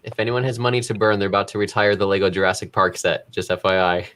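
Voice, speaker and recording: A woman's voice: extremely low pitch, flat delivery, quick pace. A woman chatting casually and informally in a group conversation. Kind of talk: casual chat.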